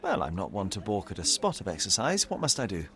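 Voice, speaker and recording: An actor playing a young, self-assured detective in a video game, with a dry, precise manner. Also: male voice, fairly low pitch, huge pitch swings, quick pace, a bit bright, heavily acted. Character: young, clever, aloof male detective.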